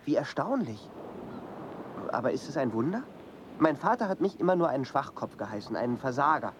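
A man's voice: mid-range voice, very animated, brisk, background noise, lightly acted. An actor playing a resentful young man, the son of a famous father, in a radio play, sounding wry and complaining. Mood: bitter.

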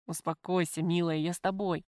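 A woman voicing a tender, worried male lover in an audiobook, speaking softly and earnestly. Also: on the low side, fairly even intonation, a little fast, no room sound, clean studio sound, close to an everyday voice. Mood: soothing, tender. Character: tender, worried man visiting his imprisoned lover.

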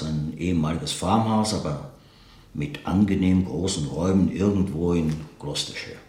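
A thoughtful man in a radio drama, recollecting with hesitant, earnest pauses. His slightly low voice moves through very lively inflections. The room adds noticeable echo around it. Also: full character voice. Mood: reflective, recollecting. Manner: searching, hesitant, earnest, pausing to reformulate. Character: reflective man recalling an enigmatic late writer friend. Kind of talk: naturalistic German radio play acting, interview-like monologue.

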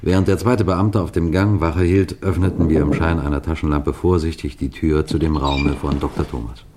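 Deep-voiced, authoritative police detective. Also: male voice, steady tone, background noise. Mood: tense narration. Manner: measured, deliberate, emphatic storytelling. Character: authoritative male police detective recounting his case. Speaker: narrator and lead actor. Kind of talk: vintage German radio crime drama narration.

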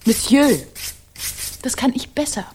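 High, slow, coquettish maid. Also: female voice, a little mellow, slight background noise. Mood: saucy, amused. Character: coquettish, saucy Parisian cleaning maid, female. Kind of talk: German radio drama acting.

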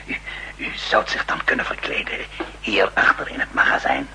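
An actor playing a fussy, obsequious male shopkeeper in an old Dutch radio drama, hesitant, deferential and hushed. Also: moderate pace, poor audio. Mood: conspiratorial, hesitant. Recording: 1960s radio recording with faint hum.

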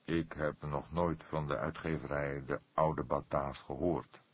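A man voicing an old, wry, courteous police detective in an audiobook, speaking deliberately and with dry modesty. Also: a bass voice, little pitch movement. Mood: modest, wry. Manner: slow, deliberate, drily modest. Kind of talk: Dutch audiobook character voice.

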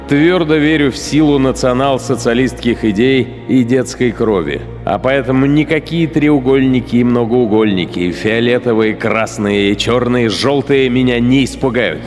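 An actor playing a cold, fanatical, contemptuous Nazi SS commander in the interior monologue of a radio play. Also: male voice, fairly low pitch, lightly acted. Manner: self-assured, declamatory, scornful. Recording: studio radio drama with music behind. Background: music.

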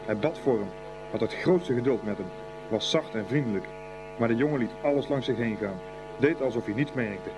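A man's voice: mid-range voice, a little fast, noisy background, close to an everyday voice. A man narrating a scripted boys' school story for a radio play. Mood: storytelling.

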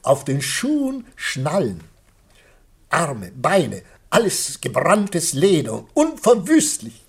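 An actor playing a middle-aged, effusive artist father in a theatrical radio play, declaiming grandly in a husky voice. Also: on the high side, huge pitch swings, slow, heavily acted. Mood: rapturous pride. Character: proud, rapturous father Ambrosius, besotted with his artwork.

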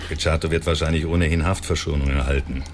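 Stern legal official, really low voice, measured and sceptical, radio play, noisy background. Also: male voice, steady tone. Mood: sober, sceptical. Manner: measured, matter-of-fact. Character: sober, formal male justice official, sceptical. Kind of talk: radio drama dialogue.

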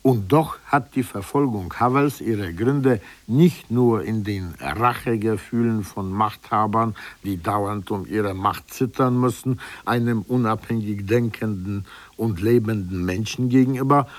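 A man reading a formal scripted lecture in a measured, earnest manner with emphatic phrasing. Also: on the low side, no echo.

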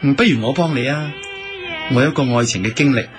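A man's voice: medium pitch, quick pace, lightly acted. A man acting in a radio drama with a Hong Kong Cantonese accent, playing a courteous, eager and smitten silk-shop owner. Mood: coy, smitten. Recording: music bed under the voice.

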